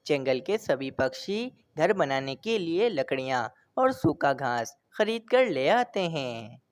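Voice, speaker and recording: A woman's voice: low-pitched, very animated, slow pace. A woman reading scripted narration for a children's story as its narrator.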